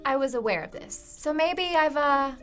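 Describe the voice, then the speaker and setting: A young American woman talks casually as she reacts on a studio set. She has a highish voice, and there is soft music in the background. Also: lively intonation, normal speed. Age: young adult. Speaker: college student reacting on a show. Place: small indoor studio set. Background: faint music.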